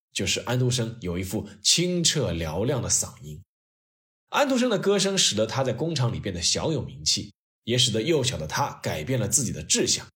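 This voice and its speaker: 男声，语调起伏大的故事讲述. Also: male voice, mid-range voice, a bit bright. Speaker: host narrator of history stories. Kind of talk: scripted storytelling narration.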